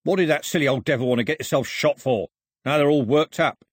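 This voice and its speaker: A man voicing a gruff, short-tempered gunman in an audiobook, growling, sneering and coarse. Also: on the high side, fairly quick, no room sound, studio quality, pitched above the performer's own voice, natural voice. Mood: menacing. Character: gruff, menacing male gunman, short-tempered villain and bully.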